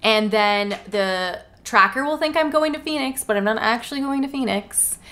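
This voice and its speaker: A young woman co-hosting a casual book club chat, animated and giggly, breaking into dramatic mock voices. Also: fairly high pitch, moderate pace. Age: young adult. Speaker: podcast co-host. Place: indoor room, sitting on a couch.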